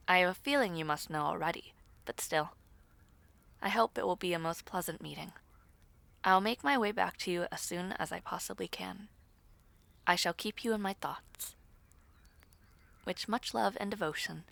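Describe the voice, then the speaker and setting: A woman voicing a gracious nobleman fiancé in a fantasy audio roleplay, formal, courteous and measured, as in a letter. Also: fairly low pitch, gravelly, a bit bright, no room sound, pitched below the performer's own voice.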